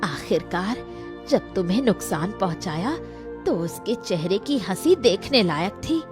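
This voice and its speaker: A woman's voice: mid-range voice, sing-song, measured pace. Lady doing village bhabhi for a cartoon, supportive but holds a grudge, emphatic, music under it. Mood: accusing. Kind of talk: Hindi cartoon story dialogue.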